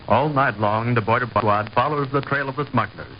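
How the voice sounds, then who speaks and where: A man's voice: medium pitch, a little fast, lightly acted. A man reading scripted narration for an old-time radio drama. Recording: muffled, noisy old radio recording.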